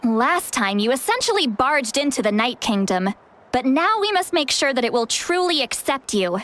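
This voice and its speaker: A woman's voice: sits high, big pitch swings, normal speed, a bit bright. An actress voicing a cheeky, dramatic woman who is far older than she sounds, in a video game. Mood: teasing, confident. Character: ancient but youthful-sounding, dramatic, cheeky woman elder.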